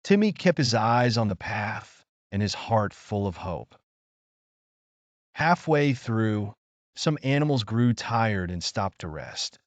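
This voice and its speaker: Expressive male storyteller with lively, lowish pitch. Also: takes it slowly, no room sound, muffled recording. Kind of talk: scripted children's story narration.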